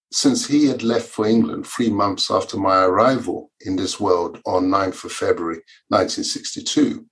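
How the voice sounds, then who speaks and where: A man, a Yoruba speaker, reading his own memoir aloud in a measured, formal way. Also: fairly low pitch, rough recording. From Nigeria. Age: 50s. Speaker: author and lawyer.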